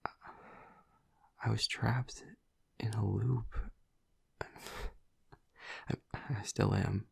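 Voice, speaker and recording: Man acting a male vampire lover, very husky half-whisper, slow, stammering, tender, tormented, intimate audio roleplay. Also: fairly low pitch, steady tone, exaggerated voice. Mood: anguished, smitten. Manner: halting, stammering, confessional.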